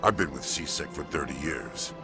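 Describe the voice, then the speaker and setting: Very deep pitch, stern alien police chief, gravelly, curt, authoritative, game dialogue over prominent music. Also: male voice, expressive, normal speed. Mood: stern, indignant. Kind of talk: video game dialogue acting.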